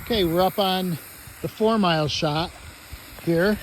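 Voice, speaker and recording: A man with a slightly high voice talks through a technical hobby in an informal vlog style. He is conversational and a bit hesitant, working out his explanation as he speaks. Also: unhurried, a little hiss or hum, rough recording. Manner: hesitant, thinking aloud, conversational. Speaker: amateur radio hobbyist presenter.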